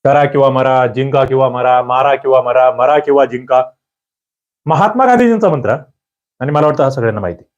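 Man, exam-prep teacher, quiz-style lecture, pushes for fast answers, dull recording. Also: animated. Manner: quizzing, demanding quick answers. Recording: muffled low-fidelity recording.

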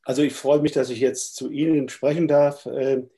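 A man's voice: mid-range voice, level tone, normal speed, mellow. A middle-aged man with a stuffy cold gives a thoughtful, hesitant lecture from a room at home over a video call. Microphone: webcam on a video call.

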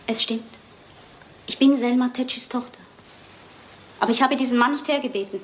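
Woman acting a high-voiced, distressed young wife. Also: slight background noise, lightly acted, rough recording. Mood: distressed confession. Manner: halting, emotional. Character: distressed young wife confessing, frightened, pleading. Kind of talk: German radio crime drama acting.

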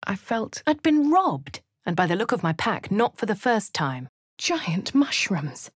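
A woman's voice: medium pitch, sing-song, unhurried, husky, no echo, clean studio sound. Posh, wry female adventurer. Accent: posh English. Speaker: player character. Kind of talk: game voice lines and narration. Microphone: close studio mic, dry.

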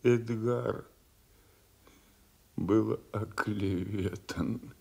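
An old, broken nobleman in a radio tragedy. The voice is lowish and gravelly, dragging painfully slowly with remorse. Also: fairly even intonation, darkish tone, exaggerated voice. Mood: remorseful, pleading. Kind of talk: classical stage tragedy acting for radio.

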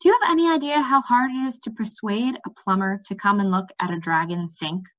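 Outspoken storybook princess, read by a woman, practical, emphatic, bright, slightly dull sound. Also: mid-range voice, a little fast, lightly acted. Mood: irritated. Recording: slightly dull webcam audio.

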